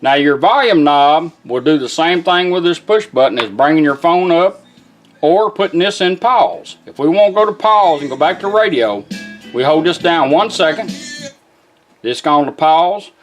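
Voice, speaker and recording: A man with a slightly raised pitch gives a hands-on demonstration of a product. His phrasing is informal and folksy, like a tradesman showing off his work. Guitar music plays faintly behind him. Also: lively intonation, moderate pace. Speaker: product demonstrator, car radio builder.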